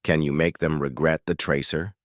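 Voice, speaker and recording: A man's voice: a bass voice, level tone, moderate pace, no echo, studio quality, pitched below the performer's own voice. A man voicing a stubborn, defiant male ship captain with a blunt way of talking.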